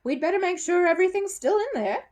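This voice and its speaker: A woman's voice: very high voice, animated, quick pace, a little mellow, voice pushed up. A woman voicing a short-tempered, sarcastic male stage magician in a storybook, with a showy, theatrical delivery. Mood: exasperated, sarcastic.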